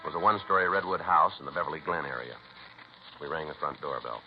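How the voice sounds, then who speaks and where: An American man playing a terse, matter-of-fact police detective in a 1950s radio drama, with a clipped, deadpan, businesslike delivery. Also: extremely low pitch, fast talker. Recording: noisy, muffled 1950s radio recording.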